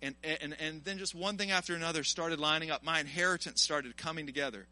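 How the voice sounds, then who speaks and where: A man in his early forties preaching an informal sermon in an animated, colloquial, exhorting way. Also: on the high side, fast. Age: early 40s. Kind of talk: informal sermon to a live congregation, with personal testimony.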